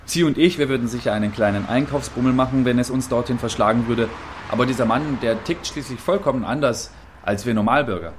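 Faint ambient noise sits behind a man acting out a male field reporter in a mock live broadcast. His voice is medium in pitch. He reports eagerly and chattily, with small hesitations and a deferential manner. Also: fast, lightly acted. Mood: apologetic, deferential. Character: eager, apologetic male field reporter giving eyewitness account. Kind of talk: satirical radio play as live news report.

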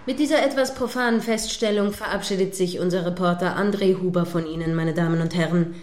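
A woman's voice: on the low side, steady tone, a little fast, background noise, natural voice. Woman acting a preachy commentator, echoey. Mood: solemn, preachy. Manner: preachy, formal. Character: solemn, moralising female radio commentator. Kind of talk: satirical radio play, live report. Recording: echoey, roomy sound.

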